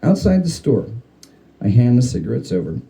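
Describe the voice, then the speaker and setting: A man giving a dramatic reading of a humorous spooky story, with theatrical, deliberate phrasing. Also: mid-range voice, sing-song, creaky, warm tone. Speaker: story reader.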